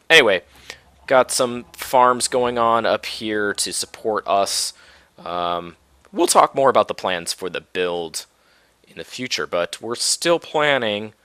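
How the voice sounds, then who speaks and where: A man's voice: fairly low pitch, sharp tone, clean tone, dry recording. A man in his thirties from the Minneapolis area, talking laid-back and rambling over his Minecraft game while coming down with a cold. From Minneapolis-Saint Paul area, US. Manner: laid-back and rambling, many ums and restarts. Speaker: Minecraft let's play host. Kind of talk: casual gameplay commentary.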